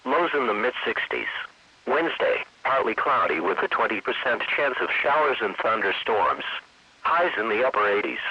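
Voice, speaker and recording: A man's voice: lowish voice, sing-song, normal speed, husky. An automated male announcer reading scripted forecasts in a formulaic, impersonal synthetic voice. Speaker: automated weather radio announcer. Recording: dull lo-fi weather radio stream.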